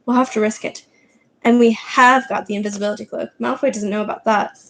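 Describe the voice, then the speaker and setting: A woman's voice: on the high side, fairly even intonation, fairly quick, lightly acted, low-quality recording. A woman voicing a determined young boy wizard in a storybook reading, firm and urgent.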